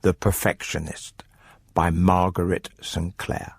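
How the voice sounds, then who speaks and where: Low-voiced man narrating over music. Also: level tone, slow pace. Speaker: narrator and presenter of radio stories. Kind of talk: scripted dramatic story narration.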